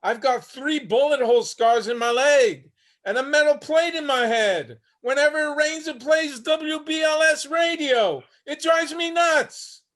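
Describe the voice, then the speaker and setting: Male actor as weary 73-year-old homeless war veteran, sardonic and blunt, very high-pitched for a man, stage play reading. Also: a little slow, a bit bright. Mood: wry, bitter. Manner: wry, blunt, streetwise. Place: remote video-call reading.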